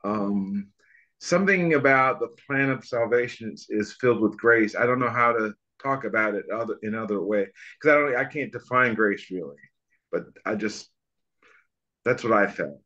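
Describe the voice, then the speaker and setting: A middle-aged man with a low voice, heard through slightly dull video-call audio. He reflects casually, hesitating as he searches for words. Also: moderate pace, pushed and bright. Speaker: discussion participant. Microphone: device mic on video call.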